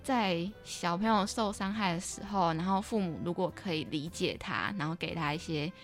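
A woman's voice: fairly low pitch, normal speed, faint music behind. A young adult woman with a Taiwanese Mandarin accent, hosting a casual podcast interview and telling personal stories reflectively. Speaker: podcast host and radio drama writer.

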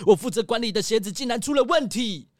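A man's voice: very high-pitched, expressive, fast talker, no echo, clean studio sound. An actor playing an effusive, theatrical male animal character in a children's audio drama. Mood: grateful.

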